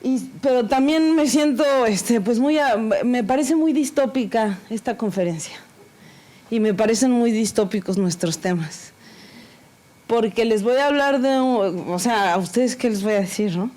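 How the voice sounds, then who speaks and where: A woman speaking earnestly at an academic conference panel, halting and full of fillers, openly nervous. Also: a little high, dark tone. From Mexico. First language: Spanish.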